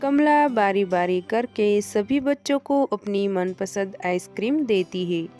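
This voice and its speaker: Slow-paced woman storyteller. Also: medium pitch, expressive, warm tone, faint music behind. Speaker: narrator. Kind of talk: scripted children's moral-story narration.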